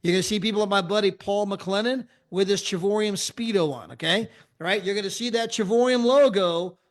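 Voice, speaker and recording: A man from the United States giving an energetic travel club sales pitch, often checking in with "right?" and "okay?". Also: fairly quick.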